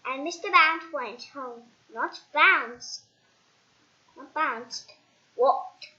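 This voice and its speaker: A child reading a storybook aloud in an animated way, haltingly, with restarts and dramatic emphasis. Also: high-pitched, lo-fi sound. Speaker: storybook reader.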